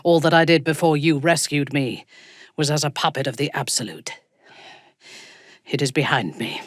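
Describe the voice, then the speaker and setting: An actress playing a ruthless, haughty dark elf warrior in a video game, cold, commanding and menacing in her measured delivery. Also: deep voice, no echo, clean studio sound. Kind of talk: video game character voice acting.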